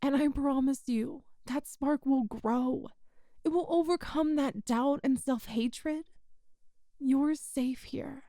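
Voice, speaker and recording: A woman playing a kind, earnest human rescuer in an ASMR roleplay, speaking in a gentle, reassuring, folksy way to the listener. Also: high-pitched, takes it slowly, no room sound, studio quality. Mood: tender, reassuring.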